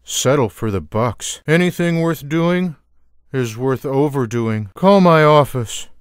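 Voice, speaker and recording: A man voicing a lazy, sarcastic, gluttonous cartoon cat with deadpan, wry delivery for a video game. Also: medium pitch, takes it slowly, smooth, dry recording, clean studio sound. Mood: deadpan, smug. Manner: deadpan, wry one-liners. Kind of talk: video game character voice clips.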